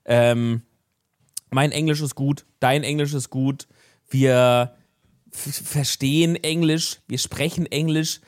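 A man's voice: mid-range voice, fairly even intonation, slow, clean tone, no room sound, clean studio sound. A young man co-hosting a podcast, chatting in a laid-back, slangy way. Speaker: podcast co-host. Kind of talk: casual podcast chat.

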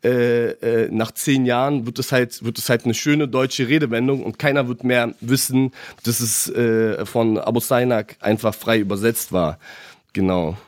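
A young man who came to Germany as a child, chatting casually as a podcast guest with animated, halting speech full of restarts. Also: medium pitch, dry recording. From abroad, came to Germany as a child.

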